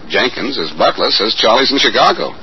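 An actor playing a breezy, wisecracking American amateur detective with a flippant, bantering manner in an old-time radio drama. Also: male voice, medium pitch, very animated, brisk, natural voice. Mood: wry, animated. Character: breezy, wisecracking amateur sleuth, cool under suspicion. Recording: muffled, noisy vintage radio recording.

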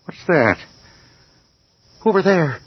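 A man acting in a radio horror drama, playing a wary, reluctant man in a graveyard, with a husky voice. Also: fairly high pitch, expressive, takes it slowly, exaggerated voice. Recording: muffled old radio drama recording.

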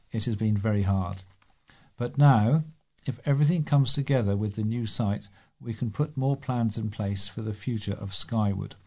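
A man's voice: on the low side, dark tone. A British man reading scripted local news as a volunteer newsreader.

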